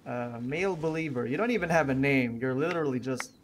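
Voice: medium pitch, measured pace, background noise. A gamer giving casual let's play commentary with wry, sceptical asides. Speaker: gaming video host.

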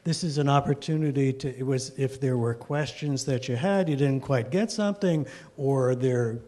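A man's voice: mid-range voice, normal speed, mellow. A man moderating an audience question session in a lecture hall, joking loosely and restarting his sentences, speaking into a handheld mic. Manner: jokey, loose, restarts often. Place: wood-panelled lecture hall.

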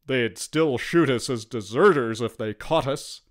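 A man's voice: medium pitch, unhurried, lightly acted. A man voicing a sceptical, sensible British soldier in a dour, practical manner for a storybook. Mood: scornful.

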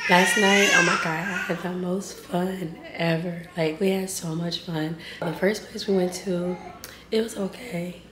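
A woman's voice: fairly low pitch, steady tone, unhurried, noisy background. A young woman who has lost most of her voice, chatting casually to her phone camera at arm's length while vlogging her day. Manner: chatty, talks straight to the camera. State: hoarse, losing her voice. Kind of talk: casual vlog chat. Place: shops, restaurants and home, indoors and out. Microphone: handheld phone at arm's length.

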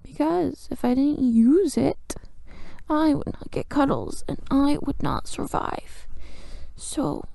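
Woman doing a clingy sleepy gf asmr roleplay, really creaky slow murmur, cute n bossy, up close. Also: high voice, big pitch swings, warm tone, no room sound, full character voice. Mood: clingy, stubborn. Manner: drowsy, murmuring, often whispering, hums between phrases. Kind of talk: improvised ASMR girlfriend roleplay. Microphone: close mic.

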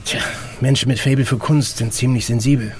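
An actor playing a guarded middle-aged investigator in an audio drama, explaining things in a terse, matter-of-fact way. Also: male voice, medium pitch, fairly even intonation, fairly quick, a little hiss or hum, natural voice. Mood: measured, guarded. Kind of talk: German audio drama dialogue.

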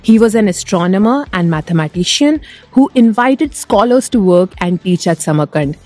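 A woman's voice: on the low side, warm tone. A woman narrating as a travel vlogger. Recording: music clearly underneath. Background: background music.

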